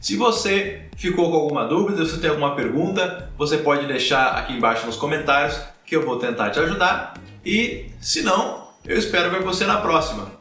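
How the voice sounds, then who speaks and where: A Brazilian man with a Brazilian Portuguese accent explains grammar in a relaxed, chatty lesson. He often repeats himself and checks in with quick tag questions. His voice is bright and slightly high, and it rings in an echoey room. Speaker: language teacher. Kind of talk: casual explanatory lesson to learners.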